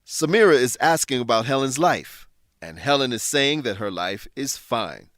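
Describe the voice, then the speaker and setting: A man's voice: mid-range voice, expressive, normal speed, fairly bright tone, no room sound, clean studio sound. Man presenting a scripted English lesson. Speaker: host and English teacher.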